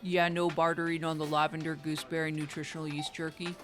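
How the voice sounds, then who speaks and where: A woman voicing a flat, unbudging bulk food store clerk with a deadpan monotone in an improvised comedy audio drama. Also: low voice, quick pace, music in the background, pitched below the performer's own voice, natural voice. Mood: bored, firm.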